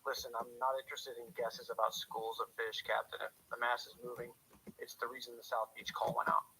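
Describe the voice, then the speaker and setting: An actor playing a steady, commanding police officer on night radio duty, speaking in clipped procedure in a radio drama. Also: male voice, mid-range voice, brisk. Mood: firm, urgent. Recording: hissy police radio or telephone line.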